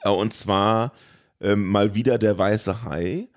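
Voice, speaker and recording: A man's voice: lowish voice, fairly even intonation, slow. A man co-hosting a casual podcast, jokey and colloquial as he chats and reads out items. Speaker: podcast co-host. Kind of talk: casual banter, reading out news and TV listings.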